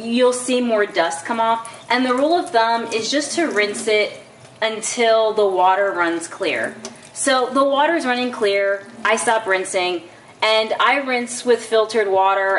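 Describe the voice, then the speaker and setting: A woman's voice: medium pitch, a bit bright. A middle-aged woman hosting a casual cooking demonstration in her home kitchen, explaining steps in a chatty, instructive way with fillers and asides. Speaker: cooking show host. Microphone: camera mic from across the room. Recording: roomy, distant camera audio with light background noise.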